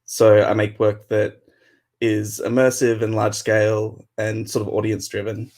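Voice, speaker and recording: A man, a playwright and experimental artist, introducing himself slowly and hesitantly on an online panel. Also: on the low side, completely flat delivery, darkish tone.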